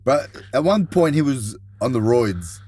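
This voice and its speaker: A man's voice: mid-range voice, big pitch swings, unhurried, darkish tone, slightly rough, no room sound. A man co-hosting a podcast, chatting in a laid-back, blokey way with loose phrasing and swearing. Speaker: podcast co-host. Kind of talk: casual banter, swearing. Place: vintage caravan turned studio.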